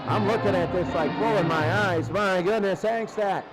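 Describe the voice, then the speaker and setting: High-voiced man calling football. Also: moderate pace, loud music behind the voice. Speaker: play-by-play football commentator. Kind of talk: live sports commentary. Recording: clearly noisy game broadcast.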